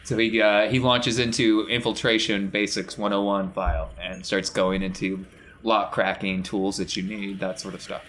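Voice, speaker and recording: A man's voice: on the low side, little pitch movement, normal speed, fairly bright tone. A man acting as game master, narrating a tabletop roleplaying game in a conversational way.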